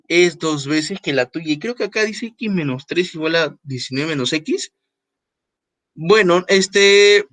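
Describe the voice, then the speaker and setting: A man teaching a math class online, repeating key phrases, stressing words slowly, and checking in with quick tag questions. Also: on the high side, sharp tone, no room sound.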